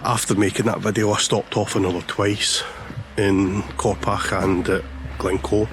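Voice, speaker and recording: A hoarse man, chatting casually as he vlogs outdoors about landscape photography, with many pauses and fillers. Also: fairly low pitch, level tone, background noise. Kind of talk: casual vlog narration. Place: outdoors on a lakeshore, walking. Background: background music.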